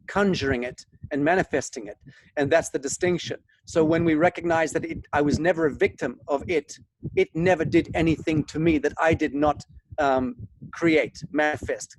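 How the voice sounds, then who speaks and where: Higher-voiced middle-aged man over noisy call. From Zimbabwe. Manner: earnest, halting, grandiose vocabulary. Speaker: interview guest. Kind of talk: spiritual monologue in a video interview. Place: large open room with thatched roof. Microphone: video call, device at a short distance. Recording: noisy video call audio with clicks.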